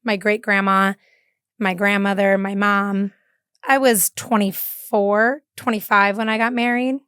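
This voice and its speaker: Guest, woman in her thirties from San Diego, smooth higher voice, dry sound, relaxed and easygoing. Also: unhurried, dry recording. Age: 30s. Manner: easygoing, many fillers and yeahs. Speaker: podcast guest. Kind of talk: casual interview chat.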